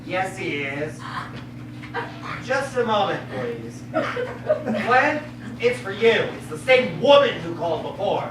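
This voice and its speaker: A woman's voice: mid-range voice, wildly swooping pitch, takes it slowly, pauses often. A woman playing a frazzled, exasperated party guest in a stage farce, flustered and emphatic. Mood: politely flustered. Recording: very echoey, noisy, far from mic.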